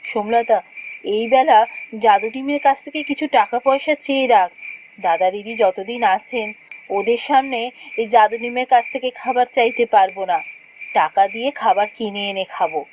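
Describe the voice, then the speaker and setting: A woman's voice: fairly high pitch, moderate pace, darkish tone, a little hiss or hum, pitched below the performer's own voice, lightly acted, low-quality recording. A woman voicing a long-suffering village mother in a fairy-tale cartoon, plaintive and reproachful. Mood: cautious.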